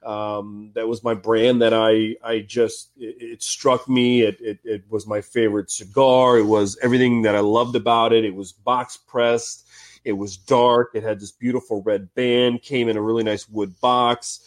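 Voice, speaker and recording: A man from Ohio with a cold and a slightly raspy voice, a guest telling stories in a relaxed, chatty way with many fillers. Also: mid-range voice, moderate pace. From Cincinnati, Ohio, US. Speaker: cigar industry guest. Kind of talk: casual podcast interview.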